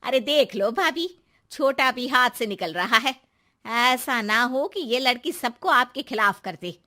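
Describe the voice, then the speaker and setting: A woman's voice: on the high side, expressive, measured pace, pushed and bright. A woman voicing a spiteful, gossipy aunt who blames others in a family story. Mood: scheming. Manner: accusing, gossipy.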